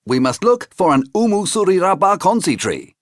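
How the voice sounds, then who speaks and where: A man's voice: high-pitched, sing-song, normal speed, no room sound, studio quality, voice pushed up. A man voicing a kindly, cheerful uncle in a raised, eager voice.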